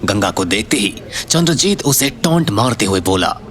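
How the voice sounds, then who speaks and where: A man's voice: fairly low pitch, big pitch swings, normal speed, a bit bright. A man narrating an audio story in a scripted, dramatic style.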